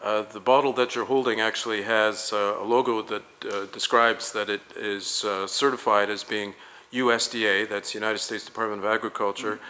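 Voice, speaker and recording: A man interviewing a guest for a promotional video, asking polished, leading questions at a measured pace. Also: fairly low pitch, steady tone, pushed and bright.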